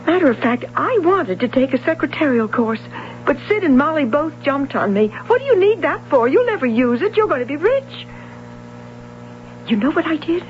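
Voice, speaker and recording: A woman's voice: sits high, big pitch swings, moderate pace. A woman playing a troubled, insecure young swimmer in an old radio drama, speaking in an earnest, emotive way. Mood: anguished confession. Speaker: actress. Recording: dull, hissy, humming old radio recording.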